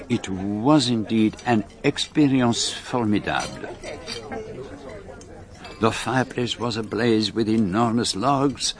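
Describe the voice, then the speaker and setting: An actor playing a fastidious gentleman detective with a Belgian French accent, narrating his story formally in a radio drama. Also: mid-range voice, close to an everyday voice. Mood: pleased, savouring. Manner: precise, formal, measured. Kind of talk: scripted first-person narration in radio drama.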